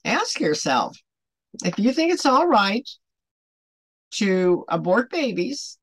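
A woman in her seventies teaching a Bible devotional to camera at home, earnest and deliberate, putting emphatic questions to the listener. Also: mid-range voice, big pitch swings, dry recording. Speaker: online Bible study host. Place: small home room, indoors.

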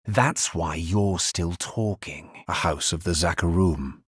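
Fantasy game necromancer hero: grave and understated, husky tone, very dry close sound. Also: male voice, low voice, takes it slowly, no echo, full character voice. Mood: solemn. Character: grave, world-weary male necromancer hero. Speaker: video game voice actor. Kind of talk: dramatic fantasy game dialogue lines.

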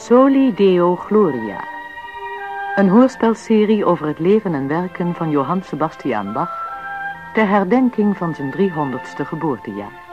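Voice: mid-range voice, very animated, measured pace, dull audio, over music. An announcer reading a scripted introduction to a radio drama series.

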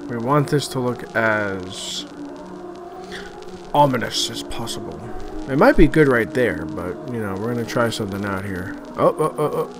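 A man giving casual, halting commentary as he thinks aloud over a screen recording, often trailing off. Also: mid-range voice, lively intonation, music in the background. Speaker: host of an art-making video series.

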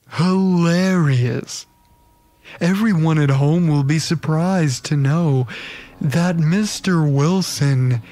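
A man's voice: fairly high pitch, mellow. A man playing a deranged, gleeful surgeon who hosts a macabre show in theatrical, darkly comedic audio theatre. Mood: mock cheerful. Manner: theatrical, slow, sinister showmanship.

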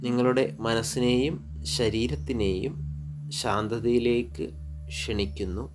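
A man with a Malayali accent guiding sleep hypnosis meditation, soothing and unhurried, pausing between short phrases over relaxing music. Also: mid-range voice, steady tone. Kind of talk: guided sleep hypnosis narration. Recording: relaxing music with singing bowls behind.

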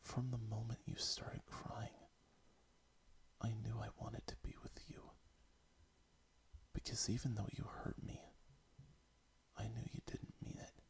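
A gentle, loving male fox who speaks in a soft, gravelly whisper, as a man reads him. He takes his time over every word. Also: lowish voice, flat delivery, slow pace, pauses often, heavily acted.

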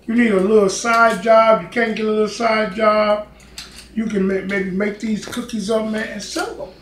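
A man's voice: high voice, a bit bright. Middle-aged man, chatty home-kitchen cooking host, talks to his camera mic in a roomy kitchen. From Chicago, United States. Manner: chatty, rambling, encouraging, with lots of fillers. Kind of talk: casual cooking tutorial. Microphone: camera mic, filming himself. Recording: echoey kitchen with faint noise.

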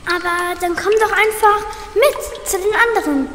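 A woman voicing an eager, helpful boy detective in a children's radio play, excited and encouraging. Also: extremely high pitch, normal speed, reverberant, big-room sound, slight background noise.

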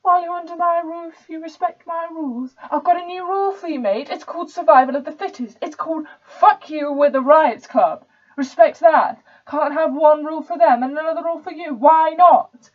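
A woman's voice: high voice, moderate pace, far from the mic, pitched above the performer's own voice, lightly acted. A woman playing an arrogant posh young English club member in a sneering, indignant stage monologue. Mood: defiant anger. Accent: posh English, put on. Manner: sneering, indignant, sweary ranting. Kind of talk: dramatic stage monologue reading. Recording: dull, lo-fi home recording.

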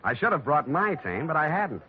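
An actor playing a wry, unflappable hard-boiled private detective, deadpan and wisecracking, in 1940s radio style. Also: male voice, a little high, brisk. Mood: wry narration. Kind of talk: 1940s radio acting with first-person narration. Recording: dull vintage radio recording.

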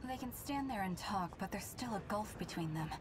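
A woman's voice: mid-range voice, expressive, moderate pace, noisy background, lightly acted. An actress as a cool, dry young soldier woman. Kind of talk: video game voice acting. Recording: background music.

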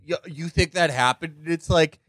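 A man's voice: fairly high pitch, normal speed, fairly bright tone, dry recording, poor audio. A man co-hosting a podcast, riffing sardonically in casual, profane political banter full of "like". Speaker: podcast co-host.

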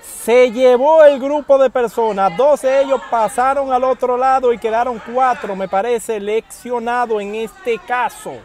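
A man's voice: very high-pitched, unhurried, few pauses, a faint crowd. A man, giving casual news commentary to camera from inside a parked car, speaking animatedly and appealing directly to his viewers. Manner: animated, addresses viewers directly, emphatic pleas. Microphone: wired earphone mic.

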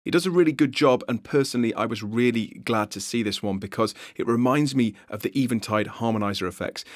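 A man's voice: lowish voice, dry recording. A man giving an explanatory, conversational screencast tutorial narration as an instructor, recorded on a close voice-over mic.

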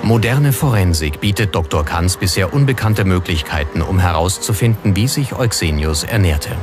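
A man's voice: deep voice, expressive, normal speed. A man reading scripted documentary narration. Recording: music under the voice. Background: background music.

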